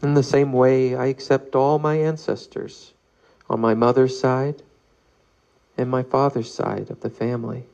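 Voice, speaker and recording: A man guides a meditation in a mellow voice with a creaky edge. He reads slowly and calmly, leaving long pauses between measured phrases. The recording sounds a little muffled. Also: mid-range voice. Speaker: meditation leader. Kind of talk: guided meditation, read aloud.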